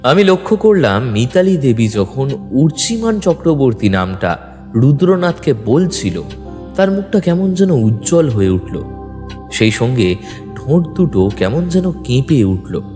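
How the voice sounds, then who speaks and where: A man's voice: mid-range voice, big pitch swings, a little slow, mellow. A man narrating a scripted detective story in the first person with a dramatic storytelling delivery. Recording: long reverb with music bed. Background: background music.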